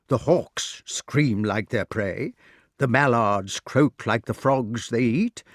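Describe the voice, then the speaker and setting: A man's voice: mid-range voice, no room sound, studio quality. A man voicing an eager, learned old male wizard who lectures with enthusiasm in an audiobook reading. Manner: lecturing, enthusiastic, mimics bird calls.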